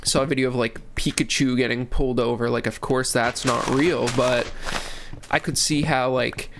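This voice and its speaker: Young male host, stuffy from a cold, loose rambling game commentary, average pitch, light background hiss. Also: steady tone, moderate pace. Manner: rambling, restarts phrases, many fillers. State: congested from a cold. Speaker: gaming channel host. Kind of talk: casual commentary while playing.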